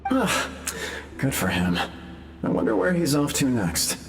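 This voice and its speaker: Cheerful chatty ghost. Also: male voice, mid-range voice, wildly swooping pitch, unhurried, creaky, slight background noise, heavily acted.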